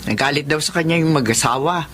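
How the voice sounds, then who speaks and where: An actress playing a compassionate, humble mother in a Filipino radio drama, explaining things gently. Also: low voice, fast talker, slight background noise. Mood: sympathetic.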